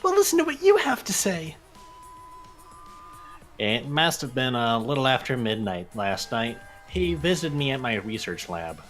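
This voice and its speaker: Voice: mid-range voice, wildly swooping pitch, unhurried. A performer in an amateur game fan dub voicing a guarded, defensive young woman in a blunt, dismissive manner. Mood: curt, defensive. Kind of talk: fan dub of an anime detective game, breaking into ad-libs. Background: background music.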